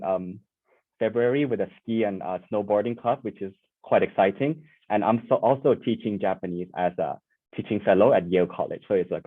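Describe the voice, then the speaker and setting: A man from Thailand, a student panelist introducing himself on a video call in a relaxed way, with fillers like um and like. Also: lowish voice, dry recording. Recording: tinny dry phone-like call audio.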